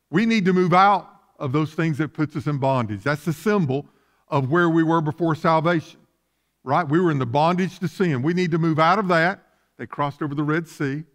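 A man in his fifties, a pastor preaching a sermon in an emphatic, conversational way, repeating phrases for weight. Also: fairly high pitch. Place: church sanctuary, at a lectern on the platform.

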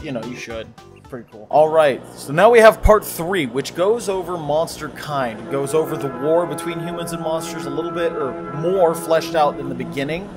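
Casual male YouTuber with music behind. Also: fairly high pitch. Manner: informal, jokey, chatty. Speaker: video host commenting on horror videos.

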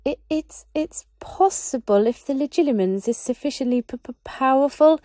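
Woman playing a nervous little male wizard, stammering, high anxious voice. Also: normal speed, dark tone, no room sound, natural voice.

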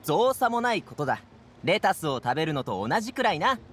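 高めの声の快活な若者. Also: male voice, on the high side, sing-song, fairly quick, a bit bright, a little hiss or hum. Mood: playful. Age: young adult. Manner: casual, breezy, boyish slang. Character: upbeat, friendly young man, transport guard. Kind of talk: Japanese anime game voice acting.

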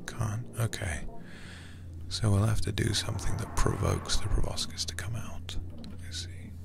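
A man streaming a game in ASMR style, murmuring in a hushed, breathy, gravelly whisper close to the microphone. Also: fairly low pitch, level tone, moderate pace. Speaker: livestream host, gamer.

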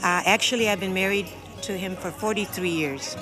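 A woman's voice: medium pitch, normal speed. A woman, chatting casually in an interview. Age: 60s. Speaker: interviewee, innkeeper's wife. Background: music.

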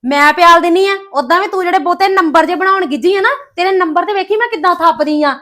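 A woman playing a shrill, sharp-tongued daughter-in-law. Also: fast. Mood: taunting. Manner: accusing, argumentative. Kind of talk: family drama acting.

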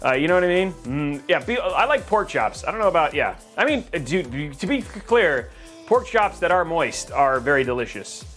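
A man's voice: on the high side, very animated, normal speed, fairly bright tone, soft background music. A man from Texas hosting a casual livestream, reading chat and joking with viewers, rambling and restarting his sentences.

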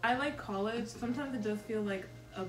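A woman's voice: on the high side, brisk, over music. A young woman from Boston talking casually and thoughtfully among friends. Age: young adult. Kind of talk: casual chat. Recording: roomy sound from a distance.

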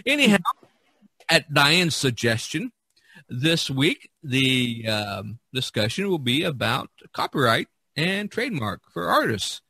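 A man hosting a podcast in casual chat, with a husky voice. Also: medium pitch, sing-song, takes it slowly, sharp tone. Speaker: podcast host.

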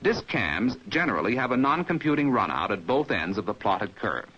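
An American man reading a scripted 1950s training film narration in a measured, precise, didactic manner, as heard on an old film soundtrack. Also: fairly low pitch, sing-song, husky, dull audio.

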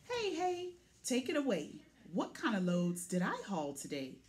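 Woman voicing a plucky little truck, wildly animated. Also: medium pitch, unhurried, noisy background. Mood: excited. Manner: punchy, boastful, with vocal sound effects. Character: plucky, boastful little pickup truck from a picture book.

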